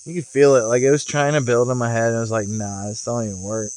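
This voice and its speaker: A man speaking as a vlogger in a casual, off-the-cuff spiritual monologue, musing in broken phrases with pauses. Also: medium pitch, level tone, darkish tone, smooth. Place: outdoors, sitting in a rocking chair. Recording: outdoor recording with chirping insects. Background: cicadas or crickets.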